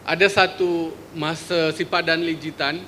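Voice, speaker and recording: A man from Indonesia with an Indonesian accent, presenting at a forum in a lecture hall, relaxed and joking, with many hesitations. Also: a little high, unhurried, slight background noise. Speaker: forum speaker, media researcher. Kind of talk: conference presentation.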